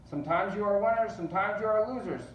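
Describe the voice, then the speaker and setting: A man's voice: high voice, fast talker, a little hiss or hum, pitched above the performer's own voice, close to an everyday voice. A man voicing a big, pompous, bellowing army general in a children's storybook read-aloud. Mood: blustering retreat. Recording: roomy, distant, dull sound.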